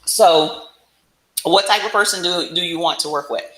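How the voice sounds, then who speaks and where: A woman's voice: on the low side, normal speed. A woman giving casual instructional talk as a business coach, conversational and often checking in with the listener. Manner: conversational, frequent check-in tags like "right?". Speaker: business coach presenting a livestream. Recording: roomy, echoing room sound.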